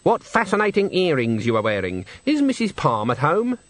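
A man reading aloud and voicing a stern old woman witch who speaks calmly and dryly. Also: a little high, normal speed, dry recording, voice pushed up. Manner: calm, dry, knowing.

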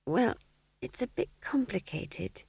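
Lady doing a nervous young witch for a storybook, higher soft voice, hesitant and pleading. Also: sing-song, normal speed, slightly rough, no room sound. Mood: anxious placating. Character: timid, anxious young witch patiently explaining.

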